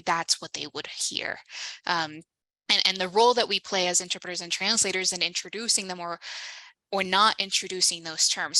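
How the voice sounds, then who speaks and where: A woman moderating a webinar panel discussion, steering the talk with measured questions over a video call. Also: mid-range voice, pushed and bright, no room sound, low-quality recording.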